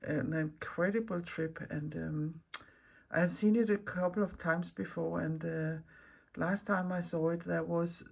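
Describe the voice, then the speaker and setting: A woman with a Danish accent and a slightly low voice talks casually as the host of a craft vlog. She is a non-native speaker who rambles, pauses and hunts for the right word as she goes. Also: steady tone, gravelly, husky. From Denmark. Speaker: mixed media artist and video host.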